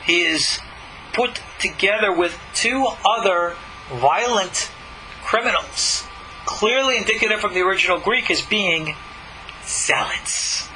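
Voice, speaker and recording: A man's voice: sits high, animated, a little slow, pushed and bright, a little hiss or hum, rough recording. A man speaking as a rabbi and teacher, giving emphatic, argumentative scripture commentary full of rhetorical questions.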